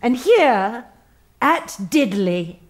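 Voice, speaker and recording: An actress playing an earnest, self-important HR manager in a satirical radio play, over-solicitous and gravely sanctimonious. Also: female voice, fairly high pitch, very animated, extremely slow, background noise, full character voice. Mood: solemn, earnest.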